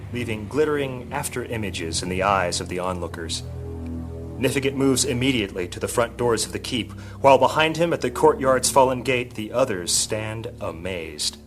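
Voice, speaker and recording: A man's voice: medium pitch, close to an everyday voice. A man narrating an epic fantasy audio drama as a solemn, dramatic storyteller reading from a script. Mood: suspenseful.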